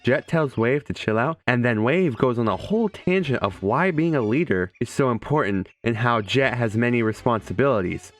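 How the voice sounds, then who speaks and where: A man's voice: medium pitch, lively intonation, normal speed, hardly any pauses. A young man giving casual, comedic, scripted video game commentary in an irreverent, profane, joking manner. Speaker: video game reviewer and streamer. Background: faint music.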